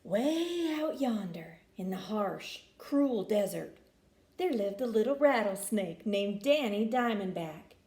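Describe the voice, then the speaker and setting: A woman reading a children's picture book aloud with expressive, folksy inflection. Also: medium pitch, slow pace, roomy sound. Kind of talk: animated children's story reading.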